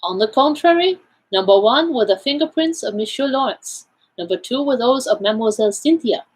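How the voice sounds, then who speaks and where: A courteous Belgian detective from a storybook mystery, played by a woman. He explains things deliberately and formally, with great self-assurance. The voice sits high and has a husky edge. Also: lively intonation, low-quality recording. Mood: precise, explanatory. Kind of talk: audiobook character dialogue.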